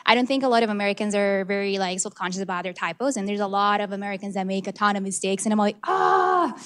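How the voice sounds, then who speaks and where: A woman's voice: mid-range voice, expressive, quick pace, fairly bright tone. A woman from Colombia with a Colombian Spanish accent, a native Spanish speaker, talking candidly on a panel with many fillers. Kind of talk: panel discussion answer.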